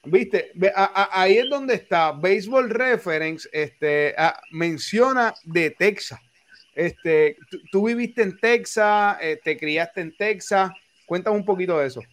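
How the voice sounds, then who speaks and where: Male host, high register, talkative and halting, casual interview. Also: fairly bright tone, slight background noise. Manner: chatty, fillers, stammers, laughs often. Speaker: podcast host.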